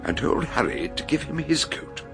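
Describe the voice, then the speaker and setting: An actor playing an older detective in a radio mystery, genial yet grave, explaining things deliberately. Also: male voice, on the high side, big pitch swings, creaky, pushed and bright, soft background music, exaggerated voice. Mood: solemn explaining. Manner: hesitant openings, deliberate explanatory delivery. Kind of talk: radio mystery drama acting.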